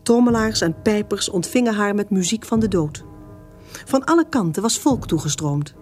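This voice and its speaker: A woman's voice: medium pitch, expressive, normal speed, darkish tone. A woman narrator reading a scripted story for a radio serial. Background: music.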